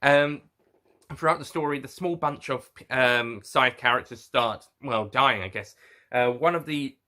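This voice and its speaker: Bright-voiced man giving a halting informal review. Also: mid-range voice. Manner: halting, rambling, often self-correcting. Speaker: reviewer and host. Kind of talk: informal spoken review to viewers.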